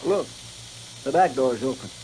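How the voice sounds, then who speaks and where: An actor playing a loyal, deferential frontier deputy, plain-spoken and rustic with an American accent, in a 1950s radio western. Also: male voice, mid-range voice, lively intonation, moderate pace, lightly acted. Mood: earnest, obliging. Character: loyal, folksy, deferential marshal's deputy in the Old West. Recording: noisy, distant 1950s radio recording.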